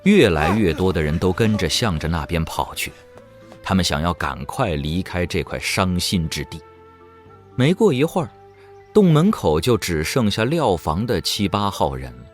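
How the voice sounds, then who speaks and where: A man giving dramatic scripted narration for an audio drama. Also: on the low side. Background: faint music.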